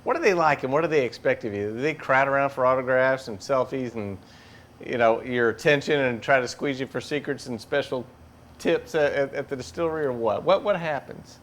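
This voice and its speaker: Panel moderator, a man of medium pitch, hesitant and wordy as he asks. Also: a bit bright, a little hiss or hum. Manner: halting, searching for words. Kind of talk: asking panel questions.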